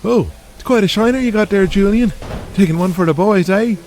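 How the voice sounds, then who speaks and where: A man's voice: high-pitched, a little fast, a little mellow, a little hiss or hum, pitched above the performer's own voice. A man voicing a cocky, sly wrestling villain in an audio drama, with a smug, taunting and colloquial way of talking.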